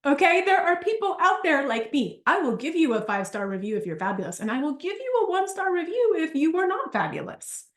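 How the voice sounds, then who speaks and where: A woman's voice: extremely high pitch, fairly quick, hardly any pauses, echoey room. A woman giving a live business workshop, telling chatty stories with lots of asides. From Argentina. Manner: animated, chatty, storytelling with asides. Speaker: business coach.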